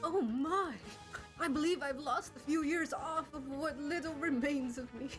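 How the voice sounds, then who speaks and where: Woman playing a genteel, shaken elderly gentleman. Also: sits high, a little slow, faint music behind. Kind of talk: amateur game dubbing.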